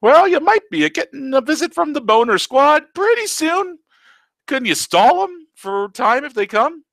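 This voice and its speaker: A man's voice: extremely high pitch, animated, moderate pace, vocal fry, a bit bright, no room sound, pitched above the performer's own voice, exaggerated voice. A man voicing a cocky, ancient immortal man who teases everyone breezily. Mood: scheming. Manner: breezy and teasing.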